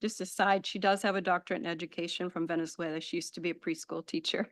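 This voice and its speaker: A woman's voice: fairly low pitch, little pitch movement, fast, dry recording. A woman teacher presenting her adult education program at a school board meeting, explaining in an informal, enthusiastic way.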